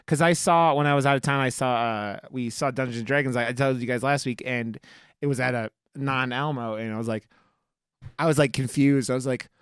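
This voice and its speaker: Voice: medium pitch, fairly even intonation, a little fast, clean tone, no room sound, studio quality. A podcast host chatting casually, with teasing, profane joking. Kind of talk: casual banter on a film review podcast.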